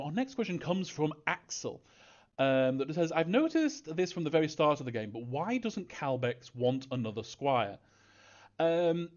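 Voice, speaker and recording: Casting: male Q&A host, mid-pitched, hesitant and informal, with a slightly lo-fi recording. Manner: hesitant, halting delivery with frequent ums. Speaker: game developer hosting a fan Q&A. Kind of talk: casual, unscripted Q&A talk.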